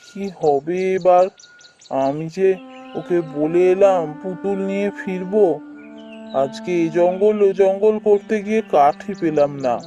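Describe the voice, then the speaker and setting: An actor playing a poor, kindly woodcutter father in a cartoon, speaking in a gentle, plaintive, musing way. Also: mid-range voice, unhurried, highs cut off, faint music behind, lightly acted. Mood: weary, worried. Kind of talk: cartoon voice acting.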